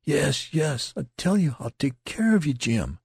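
A man performs a distraught teenage soldier from a war storybook. The voice is pushed up high and husky, crying out and stammering in desperate anguish. It sounds close and dry. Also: very animated, unhurried, darkish tone, no room sound, full character voice. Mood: frantic, pleading.

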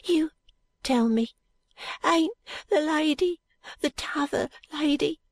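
A woman voicing a sick, frightened poor London boy in an audiobook, with a hoarse, muttering, slow drawl. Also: sits high, dry recording, pitched above the performer's own voice. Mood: wary, doubtful. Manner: slow, drawling uneducated dialect. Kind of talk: audiobook character voice.

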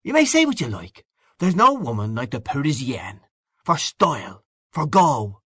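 A man's voice: medium pitch, sing-song, a little slow, sharp tone, husky, no echo, clean studio sound, pitched above the performer's own voice, exaggerated voice. A man voicing a brash, worldly Irishman in an audiobook, boastful and hearty, patronising an old friend with emphatic delivery. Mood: hearty, pressing. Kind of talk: literary audiobook character dialogue.

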